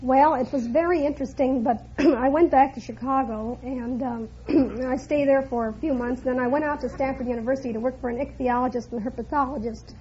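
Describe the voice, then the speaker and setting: A woman contestant on a radio quiz show, chatting about her work and clearing her throat now and then. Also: sits high, steady tone, fairly quick, hardly any pauses, mellow, slight background noise. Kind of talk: answering a host's questions. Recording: muffled 1950s radio recording.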